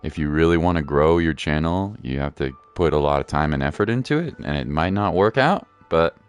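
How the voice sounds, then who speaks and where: Male streamer, low-pitched, lively intonation, casual slangy chat, encouraging, faint music behind. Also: a little fast, clear, resonant tone. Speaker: game streamer and host.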